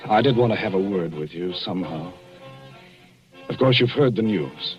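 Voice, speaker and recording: An earnest young doctor talks in a low, hesitant voice. Music plays clearly under the dull vintage radio sound. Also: male voice, expressive, normal speed. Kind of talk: 1940s radio acting.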